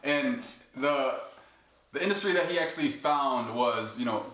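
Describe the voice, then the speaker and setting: A man's voice: mid-range voice, animated, a little slow, fairly bright tone. Casual filler-heavy male host. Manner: casual, filler-heavy, lots of "like" and "you know". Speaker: trading coach and host. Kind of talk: casual interview chat. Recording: echoey room.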